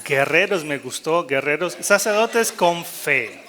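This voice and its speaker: High-pitched, bright-voiced male priest preaching. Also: takes it slowly, some chatter behind, slight background noise. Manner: jokey and animated, mimicking doubtful priests, rallying listeners. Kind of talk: live sermon-style talk to a gathered congregation.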